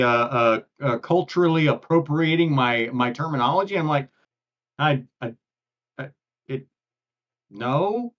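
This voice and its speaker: An older man co-hosting a casual chat, his voice a touch higher than most men's. He rambles through his points, doubling back and repeating words as he restarts sentences. The audio comes through dull and lo-fi. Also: fairly bright tone. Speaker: podcast co-host.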